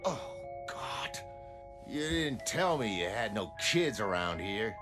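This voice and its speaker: A man playing a gruff, contemptuous customer in a motion comic drama, curt and sneering. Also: on the low side, big pitch swings, slow pace, loud music behind the voice, pitched above the performer's own voice. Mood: disgusted. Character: gruff, contemptuous male client of a prostitute.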